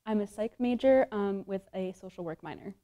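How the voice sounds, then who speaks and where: A young woman psychology student giving measured, sincere answers in a documentary interview. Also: medium pitch, dark tone. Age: young adult. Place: studio with plain backdrop.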